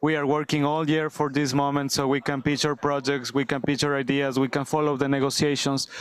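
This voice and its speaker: A man's voice: medium pitch, flat delivery, a little fast. A young man with an Ecuadorian accent speaking earnestly as a guest in a panel discussion. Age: young adult. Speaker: youth activist, panel guest.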